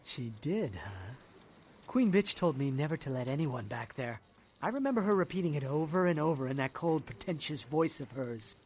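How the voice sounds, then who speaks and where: Sly, swooping blood bank clerk. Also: male voice, a little high, big pitch swings, normal speed, a little mellow, a little hiss or hum. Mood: sardonic, reluctant. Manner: sardonic, insinuating, theatrical. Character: sly, streetwise male blood bank clerk selling blood under the counter. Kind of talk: video game character acting.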